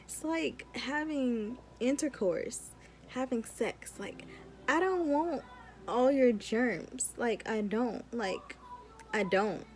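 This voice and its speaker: A woman's voice: a little high, animated, takes it slowly. A young adult woman, a law student, chatting informally and giving advice in a casual vlog with emphatic repetition.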